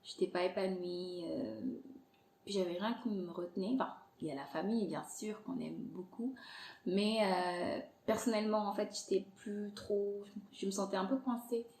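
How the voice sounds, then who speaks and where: Podcast guest, woman in her thirties from Angola, Portuguese native speaker, animated and laughing, recorded in a reverberant room. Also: medium pitch, normal speed. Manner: lively, laughs often, many fillers. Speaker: podcast guest, content creator. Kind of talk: casual interview chat. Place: Montreal. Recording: echoey room.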